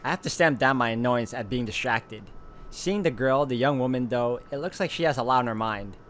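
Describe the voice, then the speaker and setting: A man's voice: medium pitch, fairly quick, fairly bright tone. Man narrating a game over faint noise. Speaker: let's play host reading a visual novel aloud. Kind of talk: narration read aloud with casual asides.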